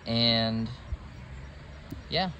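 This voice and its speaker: A man's voice: medium pitch, very slow, pushed and bright, a little hiss or hum. A young man giving a casual, loosely worded how-to demonstration as a series host, recorded outdoors on a camera's built-in microphone. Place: outdoors in a wooded park area.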